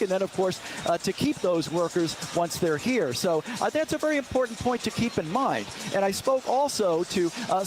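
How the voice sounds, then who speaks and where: A man with a notably high voice gives live election night analysis as a news reporter. He explains in an emphatic, measured manner, with clear noise around him on location.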